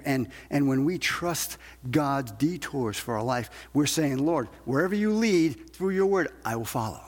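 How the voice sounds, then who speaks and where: A man's voice: fairly high pitch, husky. A man preaching a sermon to a congregation as a preacher, emphatic, repeating phrases and asking rhetorical questions. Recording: long hall reverb.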